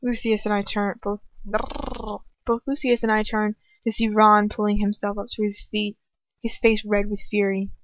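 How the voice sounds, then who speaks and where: A woman reading first-person fiction aloud as an amateur, dramatic but halting, with small slips and restarts. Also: mid-range voice, level tone. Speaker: amateur fan fiction reader. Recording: slightly dull, lo-fi home recording.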